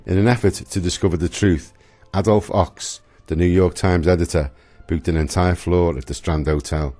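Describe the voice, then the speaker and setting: A man narrating a radio drama from a script in a solemn, measured manner. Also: low-pitched, steady tone, warm tone, no room sound.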